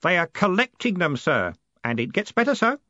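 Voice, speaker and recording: A man voicing an earnest, excitable and polite boy enthusiast in an audiobook. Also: high-pitched, normal speed, no echo, lo-fi sound, pitched above the performer's own voice, full character voice. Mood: eager.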